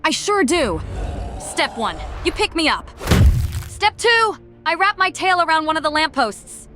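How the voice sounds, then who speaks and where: A woman's voice: extremely high pitch, very animated, a little slow, music in the background, heavily acted. A woman acting a scrappy, cheeky young female lemur in a comic dub, breezy and slangy. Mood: playful, confident.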